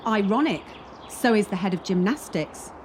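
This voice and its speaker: A woman's voice: medium pitch, lively intonation, moderate pace, a little hiss or hum, close to an everyday voice. A young woman playing a wary, wounded gymnast in a radio play, with a wry, self-mocking and wistful manner. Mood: wry, doubting.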